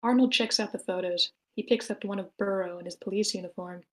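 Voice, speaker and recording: A woman's voice: mid-range voice, fairly quick. A woman reading stage directions as the narrator of a screenplay table read.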